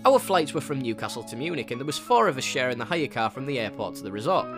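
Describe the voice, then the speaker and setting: A man's voice: mid-range voice, big pitch swings, quick pace. A young man from northeast England with a northeast English accent, narrating a travel vlog voice-over with cheeky, jokey asides. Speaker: travel vlogger. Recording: voice-over with clear music bed.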